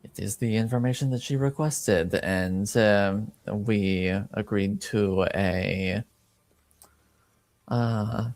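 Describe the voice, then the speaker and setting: Formal, measured man played by a male game master, slow. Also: on the low side, darkish tone, no room sound. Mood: guarded, formal. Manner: formal, careful, hesitant.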